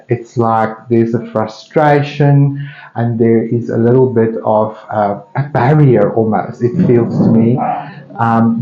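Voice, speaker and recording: A man with a South African Afrikaans accent, a native Afrikaans speaker, musing casually and thinking aloud with pauses as he hosts an open painting studio. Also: medium pitch. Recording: roomy, slightly dull sound.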